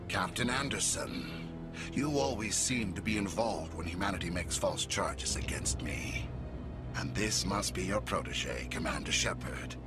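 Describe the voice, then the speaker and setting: An actor playing a haughty, contemptuous alien agent in a video game, with a sneering, measured delivery. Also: male voice, fairly low pitch, lightly acted. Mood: cold contempt. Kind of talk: dramatic video game dialogue. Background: loud music.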